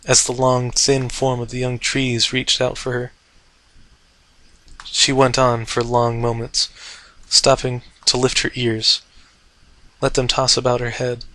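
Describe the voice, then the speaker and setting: A man's voice: mid-range voice, sharp tone, no room sound, poor audio. A man reading a story aloud as a narrator, with intense, theatrical delivery. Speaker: fan fiction narrator. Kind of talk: dramatic scripted story narration.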